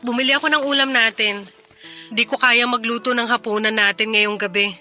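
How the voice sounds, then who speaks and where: High-voiced, bright, overworked mother. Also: steady tone, fairly quick, soft background music. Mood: weary, brisk. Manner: weary, scolding, emotive. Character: tired, overworked single mother, impatient but caring. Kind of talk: Tagalog radio drama acting.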